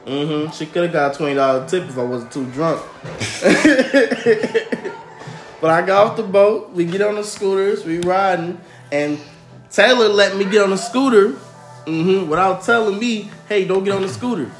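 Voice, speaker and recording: A young American man co-hosting a podcast, telling stories casually in a slangy, animated way with some swearing. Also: sits high, moderate pace. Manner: slangy, animated, profane storyteller. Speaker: podcast co-host. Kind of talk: casual storytelling chat. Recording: roomy echo, faint music underneath.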